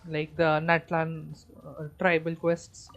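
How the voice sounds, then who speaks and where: A woman's voice: low-pitched, completely flat delivery, takes it slowly, creaky, muffled recording, a little hiss or hum. Chatty woman streaming a game. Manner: thinks aloud, talks to chat; hesitant restarts while counting. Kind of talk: casual live-stream commentary.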